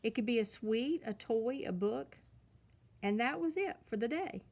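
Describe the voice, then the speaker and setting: A woman's voice: a little high, expressive, unhurried, darkish tone. A woman voicing a practical old grandmother bear in a children's storybook, explaining matter-of-factly. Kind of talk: children's storybook read-aloud.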